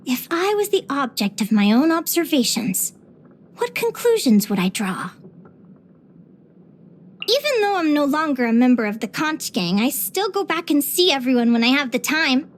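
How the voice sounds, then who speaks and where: A young female adventurer from a fantasy video game. Her voice sits very high and girlish. She speaks with earnest, expressive wonder, like a cheerful naturalist who is curious about the whole world. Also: moderate pace, a little hiss or hum. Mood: earnest, reflective. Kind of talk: video game character voice lines.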